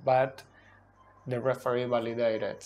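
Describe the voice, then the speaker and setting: A man in his thirties, a native Spanish speaker, gives an unscripted soccer explainer in slow, halting, deliberate second-language speech. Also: mid-range voice, level tone, darkish tone, slight background noise. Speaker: video host, soccer analyst. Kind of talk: unscripted explainer at a tactics board. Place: small indoor room at a whiteboard. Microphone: cell phone at close distance.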